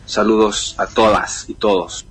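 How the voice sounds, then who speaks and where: A man from Santiago del Estero, Argentina, sending a voice message to a radio show, with dry deadpan humor. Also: lowish voice, measured pace, breathy edge, low-quality recording. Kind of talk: casual voice message.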